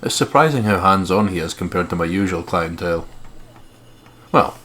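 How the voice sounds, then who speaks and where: A man's voice: sits low, lively intonation, moderate pace, sharp tone, slight background noise. A man co-hosting a let's play, mixing casual, sweary, joking banter with reading the game's text aloud.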